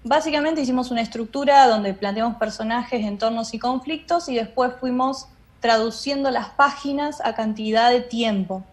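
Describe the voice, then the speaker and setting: A woman's voice: fairly high pitch, normal speed. A woman explaining her work in an interview, phrasing it a little hesitantly as she goes. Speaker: interviewed radio drama director.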